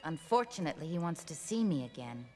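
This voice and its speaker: A woman's voice: low voice, very animated, fast talker, dark tone, in a large hall, soft background music. An actress playing a glamorous society woman with an airy, affected way of talking. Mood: breezy. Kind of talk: animated series voice acting.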